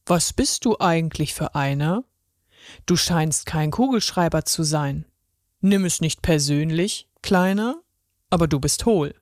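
A woman reading a children's story, voicing a gentle male pen who works as a ticket inspector, with a deep voice and an encouraging manner. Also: lively intonation, unhurried, no echo, clean studio sound. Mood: friendly, curious.

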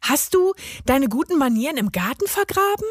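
A woman's voice: high voice, big pitch swings, normal speed, no echo, clean studio sound, pitched above the performer's own voice. A woman voicing a plucky, curious little girl in a storybook, speaking in a childlike, direct way. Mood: cheeky, bold.

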